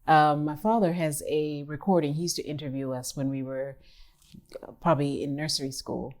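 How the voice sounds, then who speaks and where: A woman from Kenya in her fifties, an artist who speaks thoughtfully in an interview, pausing and rephrasing as she goes. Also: deep voice, steady tone, a little mellow. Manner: thoughtful, measured, with pauses and restarts. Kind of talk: reflective interview talk.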